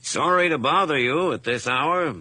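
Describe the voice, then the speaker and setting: An actor playing a courteous, businesslike night-watch officer in a radio drama. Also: male voice, fairly high pitch, normal speed, background noise, natural voice.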